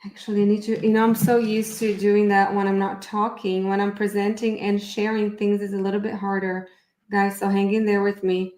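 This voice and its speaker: A woman's voice: medium pitch, flat delivery, normal speed, darkish tone, roomy sound, rough recording. A middle-aged woman with a Brazilian accent hosting a live online class, chatty and upbeat, thinking aloud as she shares her screen. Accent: Brazilian Portuguese. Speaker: therapy educator hosting a live webinar. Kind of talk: casual live online presentation.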